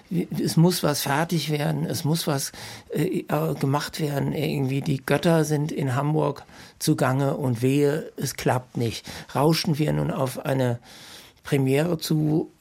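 A man looks back on studio nights in a loose, rambling manner, stumbling and restarting often. His voice sits mid-pitch, with a gravelly fry and a husky edge. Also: a little mellow. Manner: rambling, many fillers and restarts. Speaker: sound designer and composer. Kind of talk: reminiscing interview.

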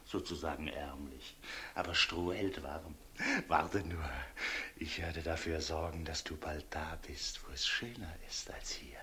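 An actor playing a fawning, morbidly affectionate executioner in a German radio play, chatty and theatrical, muttering and gushing by turns. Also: male voice, a bass voice, steady tone, a little slow, sharp tone, exaggerated voice. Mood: confiding. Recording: noisy old radio recording with clicks.

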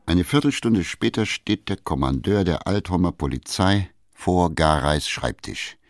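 Guy narrating a radio play, lowish voice, very dry recording, scripted storytelling. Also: lively intonation, a little slow, dry recording.

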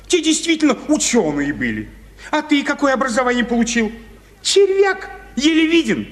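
A man's voice: high voice, heavily acted. A man acting a fussy, moralizing, gossipy petty official in a radio play, with an emphatic, scolding manner. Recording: reverberant hall-like studio.

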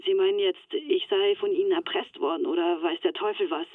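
A woman's voice: lowish voice, fairly even intonation, brisk, dry recording. An actress playing an anxious, resigned young woman in a German radio drama, speaking earnestly through a telephone filter. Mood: urgent, sober. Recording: thin, band-limited telephone line.

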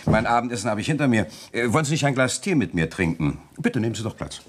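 A man's voice: medium pitch, sing-song, quick pace, husky. An actor playing a courteous, talkative village notary in a German radio play, polite and formal in his lawyerly wording. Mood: courteous, hospitable.

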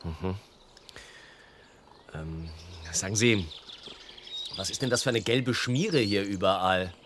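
Inquisitive reporter, lowish voice, easygoing, conversational, radio play, faint birdsong behind. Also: male voice, takes it slowly, noisy background. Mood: curious, polite. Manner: conversational, questioning. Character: inquisitive, easygoing male journalist making polite inquiries. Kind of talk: radio drama acting.

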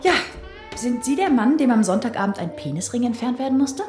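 A woman's voice: on the high side, animated, fairly quick, roomy sound, music in the background, lightly acted. An actress playing a blunt, provocative female police detective in a German radio crime drama, needling with dry irony. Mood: cheeky. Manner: blunt, needling, dryly ironic.

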